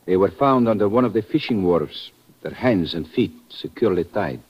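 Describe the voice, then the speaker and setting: An actor playing a suave, watchful police lieutenant, formal and pointed, in an old radio drama. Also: male voice, low-pitched, lively intonation, moderate pace, husky. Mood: cool, official. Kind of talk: 1950s radio drama acting. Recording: muffled old radio recording.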